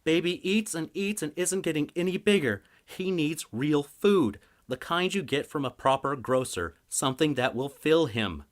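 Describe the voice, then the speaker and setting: Casting: male reader as harried, scolding wife, high grating delivery, fantasy audiobook. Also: animated, moderate pace, few pauses, close to an everyday voice. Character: exasperated young mother, a fantasy wife, sharp and scolding.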